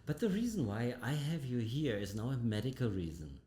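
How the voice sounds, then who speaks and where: A man hosting an interview, asking questions in a halting way, repeating words as he goes. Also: big pitch swings, warm tone. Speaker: doctor hosting an interview show. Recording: roomy echo with faint rumble.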